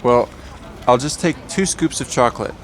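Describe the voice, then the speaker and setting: A hesitant, polite, somewhat lost newcomer, played by a man in a naturalistic audio play. His voice is mid-pitched and halting. Clear urban traffic noise is heard behind him. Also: natural voice. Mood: weary. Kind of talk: naturalistic audio drama acting.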